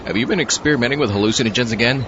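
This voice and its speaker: A man's voice: very fast, lightly acted. A man playing a wry, world-weary zombie astronaut with a dry, sarcastic manner in a comedic audio drama. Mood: suspicious, resigned. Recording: muffled lo-fi audio over rumbling background noise.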